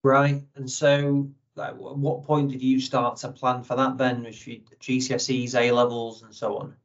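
A man's voice: medium pitch, monotone, moderate pace. Man interviewing, slightly muffled audio. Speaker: interviewer, host. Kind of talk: conversational interviewing.

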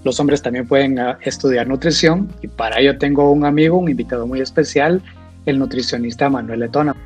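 A man with a Guatemalan accent hosting a conversational interview, feeling his way haltingly through questions and repeating words. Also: mid-range voice, a little mellow, faint music behind. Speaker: host, nutritionist.

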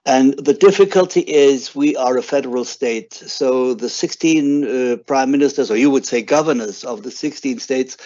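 A man with a German accent giving measured, explanatory interview answers as a guest expert, with hesitant pauses. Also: medium pitch, mellow, lo-fi sound.

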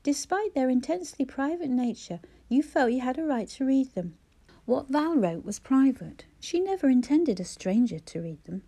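A woman's voice: mid-range voice, normal speed, warm tone, natural voice. A woman voicing a cold, condemning man. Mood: stern. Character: stern, cold younger man confronting her.

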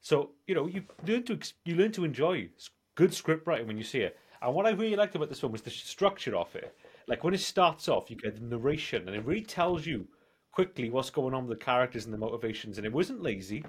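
A man's voice: mid-range voice, sing-song, fast, husky. A man in his forties chatting casually as a film podcast co-host, rambling and often repeating himself. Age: 40s. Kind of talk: casual film review chat.

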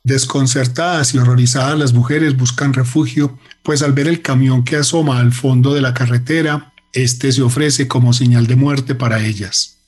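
A man's voice: medium pitch, fairly even intonation, moderate pace, a little mellow, breathy edge. A man giving dramatic scripted narration.

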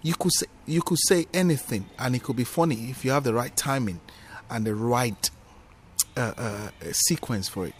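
A man with a Nigerian accent and Yoruba as his mother tongue, a comedian telling stories in an animated, emphatic way during a podcast interview. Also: mid-range voice, normal speed, a little hiss or hum. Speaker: guest comedian.

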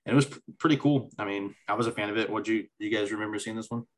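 A man's voice: on the low side, racing, low-quality recording. A man co-hosting a podcast, eagerly chatting about movies with frequent restarts. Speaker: podcast co-host. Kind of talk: casual movie chat.

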